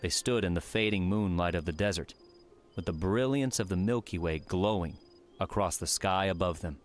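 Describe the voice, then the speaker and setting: A man's voice: low-pitched, normal speed, faint music behind. A man reading scripted audiobook narration.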